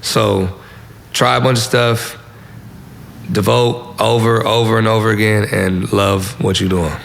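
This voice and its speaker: A man's voice: fairly low pitch, little pitch movement, measured pace, vocal fry, fairly bright tone, a little hiss or hum. A young man from Southern California, talking in a casual, slangy way as a musician being interviewed. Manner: slangy, informal. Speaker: musician guest. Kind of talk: casual interview, giving advice.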